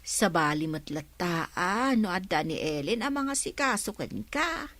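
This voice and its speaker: A woman's voice: fairly low pitch, expressive, unhurried, a bit bright, no echo. A woman with an Ilocano accent acting a concerned, questioning middle-aged village mother in a radio drama. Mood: questioning, worried.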